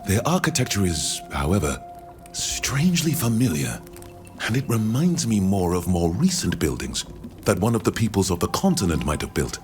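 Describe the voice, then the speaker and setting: A man playing a courteous, learned foreign scholar in a video game, speaking in measured, formal, precise phrases. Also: low-pitched, big pitch swings, soft background music. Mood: scholarly interest. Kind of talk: video game dialogue acting.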